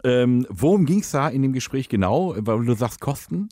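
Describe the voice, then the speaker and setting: Animated man hosting in a dry studio. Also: mid-range voice, moderate pace, no room sound. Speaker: radio prank show host. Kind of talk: casual chat and prank phone call.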